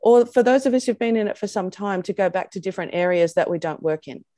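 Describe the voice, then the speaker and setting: A woman's voice: mid-range voice, fast talker, dark tone, no echo, studio quality. An Australian woman, an education researcher, giving a thoughtful webinar presentation on a video call, with frequent ums.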